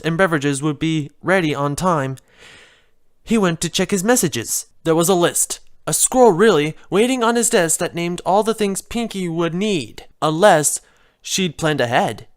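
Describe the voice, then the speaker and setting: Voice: mid-range voice, measured pace. A narrator reading a scripted comic fan fiction story aloud.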